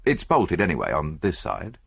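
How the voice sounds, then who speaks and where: A man voicing a businesslike, impatient police inspector in a storybook reading, with a husky voice. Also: rumbling low, wildly swooping pitch, moderate pace, full character voice. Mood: brisk. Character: brisk grave male police inspector.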